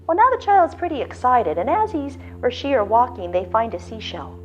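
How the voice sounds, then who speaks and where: A woman's voice: a little high, big pitch swings. Soothing woman guiding a meditation. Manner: slow, soothing, drawn-out phrasing with soft pauses. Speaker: guided meditation leader. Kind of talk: guided visualization. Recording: slightly dull lo-fi audio with faint background music.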